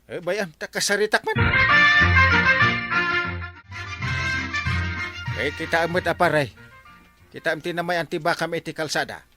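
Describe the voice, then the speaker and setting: A man's voice: a little high, quick pace, over loud music, lightly acted. A man playing a bossy, complaining villager in a radio comedy drama, with an Ilocano accent and a blustering manner.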